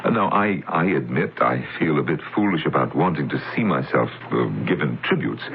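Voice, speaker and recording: An actor playing an older, wistful and conflicted scientist in a radio drama, halting and reflective. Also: male voice, a bass voice, animated, a little hiss or hum. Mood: embarrassed, hesitant. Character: old male scientist, conflicted, wistful, self-effacing. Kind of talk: 1970s-80s radio drama acting. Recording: tinny old radio recording, music behind.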